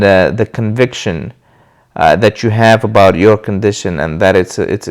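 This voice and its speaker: A man with a stuffy voice from a cold, talking casually to the camera and hosting his own channel about recovery. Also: fairly low pitch. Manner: relaxed, loose, with many uh fillers and restarts. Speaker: video host sharing his recovery.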